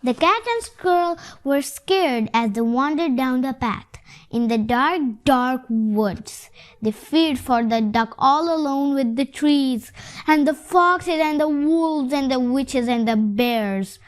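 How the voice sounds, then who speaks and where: A child reads a picture story aloud in a high voice. The delivery is slow and halting, moving between the written tale and simple explanatory asides. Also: clear, resonant tone. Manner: halting, adds own comments on pictures. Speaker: story reader.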